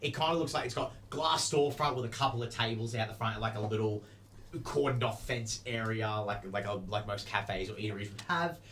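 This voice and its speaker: A man's voice: lowish voice, a little fast, a bit bright, slight background noise. A man improvising narration as game master of a tabletop game, in casual, rambling explanations. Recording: music behind the voice.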